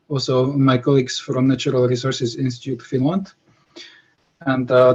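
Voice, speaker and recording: A man from Slovakia, giving a scientific presentation over a video call, with many pauses and fillers. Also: medium pitch, darkish tone. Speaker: conference presenter. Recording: muffled video call audio.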